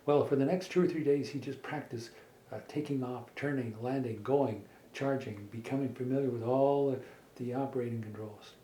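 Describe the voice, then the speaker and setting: A middle-aged man telling a made-up children's story in a halting, folksy way, repeating words as he goes. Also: medium pitch, steady tone, dark tone. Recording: echoey room with faint background noise.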